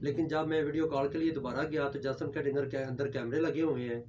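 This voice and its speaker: A man's voice: mid-range voice, steady tone, fast talker, darkish tone. A man recounting events in a conversational way. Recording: distant, dull, noisy pickup.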